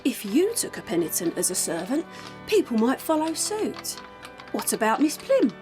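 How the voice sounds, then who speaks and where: A woman playing a fawning, genteel eighteenth-century matron, coy and flattering, in an audio drama. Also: on the high side, moderate pace, music in the background. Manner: gushing, genteel. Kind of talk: period audio drama acting.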